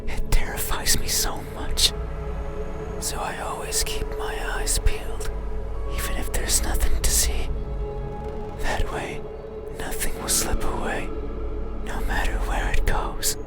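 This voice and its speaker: A man voicing a mysterious wandering night creature for a horror ASMR visual novel, lost and obsessed, in a breathy, halting, menacingly gentle whisper. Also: close to an everyday voice. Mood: lost, haunted. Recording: eerie music behind the voice. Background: loud scary music.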